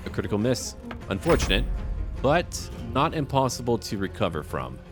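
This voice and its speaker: A man's voice: mid-range voice, big pitch swings, moderate pace, over music. A man giving casual let's-play commentary, thinking aloud and chatting to the characters on screen as he plays. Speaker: gaming video host playing and narrating.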